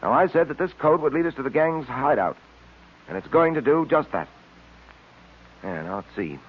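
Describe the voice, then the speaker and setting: An American actor playing a confident, brainy police detective in an old radio serial, explaining things with composure. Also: male voice, a little high, expressive, normal speed, breathy edge. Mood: instructive, composed. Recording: tinny, band-limited old radio recording.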